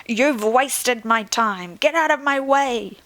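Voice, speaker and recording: Woman putting on a flamboyant showman shopkeeper, slow and grand. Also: a little high, a bit bright, voice pushed up. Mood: angry. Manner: theatrical, grandly welcoming. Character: flamboyant boastful man, showman dinosaur shopkeeper. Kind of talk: storybook read aloud.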